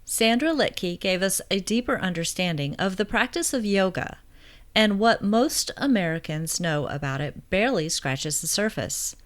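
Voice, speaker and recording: Woman podcast host, middle voice, crisp upbeat delivery. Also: medium pitch, animated, normal speed. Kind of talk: scripted intro and interviewing.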